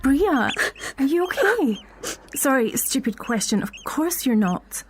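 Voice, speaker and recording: A woman playing a concerned, tactful acquaintance in an audio drama, speaking in a gentle, reassuring way. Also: high voice, very animated, unhurried, slight background noise.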